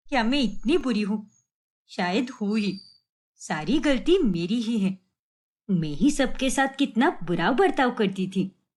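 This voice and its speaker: A woman voicing a resentful, self-pitying middle-aged mother in a cartoon story, with a dramatic, plaintive delivery. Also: a little high.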